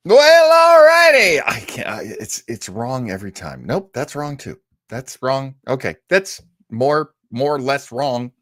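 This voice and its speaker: A man's voice: medium pitch, very animated, unhurried, fairly bright tone, dry recording, clean studio sound. A man hosting a live show with casual banter and a playful, exuberant showman's manner. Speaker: host.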